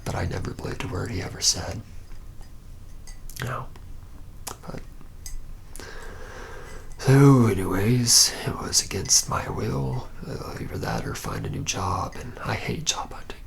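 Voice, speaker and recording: A man playing a reluctant, jaded young male vampire in a whispered ASMR roleplay, speaking haltingly with a wry, deadpan manner. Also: fairly low pitch. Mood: resigned, wry. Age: young adult. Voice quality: breathy whisper with gravelly creak.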